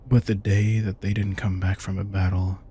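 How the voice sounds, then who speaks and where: A man's voice: sits low, level tone, moderate pace, dark tone, a little hiss or hum, natural voice. A man playing a centuries-old vampire king, wry and protective, talking casually and intimately to the listener in an audio roleplay. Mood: wistful. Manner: casual, reflective, swearing, addresses listener directly. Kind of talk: intimate scripted audio roleplay, conversational monologue.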